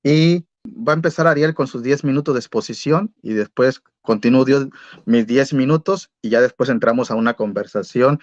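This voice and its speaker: A man's voice: medium pitch, no room sound. A man introducing and moderating a debate in an orderly, courteous way. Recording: dry, dull lo-fi audio.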